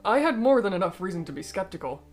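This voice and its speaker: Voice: mid-range voice, big pitch swings, soft background music. A performer in a fan dub voicing a cold, aristocratic alien noble who speaks formally and eloquently, bitter yet persuasive. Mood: measured, insistent. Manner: formal, eloquent, measured speech. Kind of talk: fan-dub voice acting of comic dialogue.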